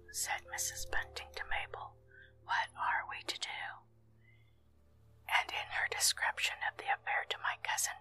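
A woman reading a story aloud in a soft, unvoiced ASMR whisper, slow and measured throughout. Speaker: narrator.

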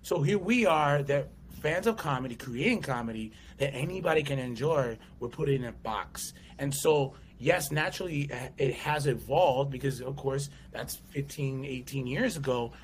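A man's voice: medium pitch, normal speed, hardly any pauses, slight background noise. A man in his thirties from the United States, a comedian and actor telling stories as an interview guest, often acting out quotes and voices. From USA. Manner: animated storyteller, acts out voices and quotes. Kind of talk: casual interview chat.

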